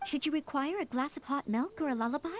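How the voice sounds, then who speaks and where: A woman's voice: sits high, lively intonation, quick pace, a little hiss or hum. A woman playing an eager, polite young maid in a video game, earnest and humble, with a formal, dutiful, slightly flustered manner. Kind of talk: video game character dialogue. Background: faint game music.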